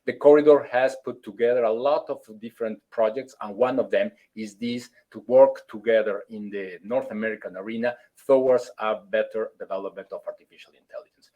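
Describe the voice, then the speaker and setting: A man, a consulate official, giving formal opening remarks at a webinar over a video call, halting and full of fillers. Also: lowish voice, steady tone, muffled recording. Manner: halting, many fillers and restarts, formal phrasing.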